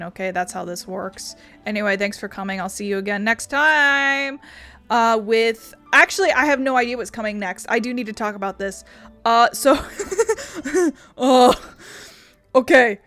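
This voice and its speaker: A woman's voice: a little high, fairly bright tone. A woman hosting a casual game playthrough, playful, chatty and rambling. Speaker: gaming video host. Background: game music.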